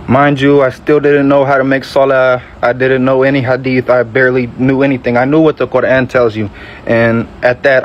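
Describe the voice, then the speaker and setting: A man, giving a measured, reflective personal testimony and often correcting himself. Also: mid-range voice, highs cut off, slight background noise. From Metro Atlanta, Georgia, USA. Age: early 20s.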